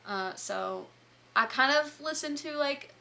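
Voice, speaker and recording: A woman's voice: high-pitched, expressive, unhurried, creaky, sharp tone, slight background noise. A woman with a light Southern US accent, co-hosting a book podcast in chatty, enthusiastic conversation, often restarting her sentences. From Southern United States. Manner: chatty, enthusiastic, self-interrupting. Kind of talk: casual chat.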